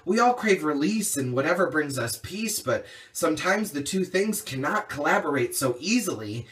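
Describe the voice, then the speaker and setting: A man's voice: on the high side, fairly bright tone, recorded from a distance. A man performing rhyming slam poetry in an earnest, rhythmic, emphatic way. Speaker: slam poet.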